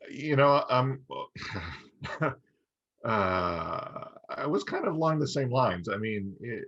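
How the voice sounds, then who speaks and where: A man's voice: mid-range voice, expressive, slow pace, fairly bright tone, muffled recording. A man co-hosting a podcast, giving his opinions casually and thinking aloud in a hesitant, conversational way. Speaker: podcast co-host. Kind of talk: casual review discussion.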